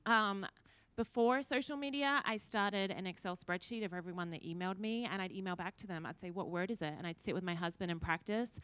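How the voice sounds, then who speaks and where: Australian woman with a smooth voice. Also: medium pitch, brisk, a bit bright, dry recording. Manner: chatty and anecdotal. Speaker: panelist, former TV news anchor. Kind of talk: conference panel answer. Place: conference room with audience, indoors. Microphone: handheld mic.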